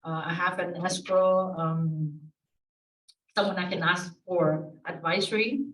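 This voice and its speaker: A woman's voice: lowish voice, fairly even intonation, measured pace, a bit bright, far from the mic. Chatty woman from Peru, echoey room. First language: Spanish. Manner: chatty, with frequent fillers and restarts. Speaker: escrow officer speaking at an association meeting. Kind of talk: informal self-introduction to an audience. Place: association meeting room.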